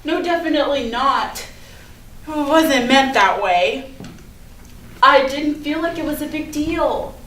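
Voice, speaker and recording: A woman's voice: high-pitched, slow pace, long pauses, a bit bright. A woman playing a popular, defensive teenage schoolgirl in a staged play reading, with chatty, casual, hedging delivery. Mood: defensive, flustered. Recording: echoey room from far off, noisy.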